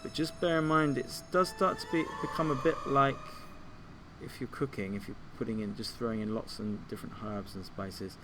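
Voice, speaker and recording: A man giving an informal step-by-step software tutorial as a music tutor, thinking aloud a little hesitantly. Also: mid-range voice, steady tone, moderate pace, background noise.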